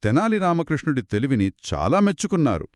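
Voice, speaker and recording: A man's voice: a little high, sing-song, fast talker, no room sound, studio quality. Man asking eager questions in a story. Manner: curious, prompting questions and reactions. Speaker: co-host. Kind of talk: scripted story dialogue.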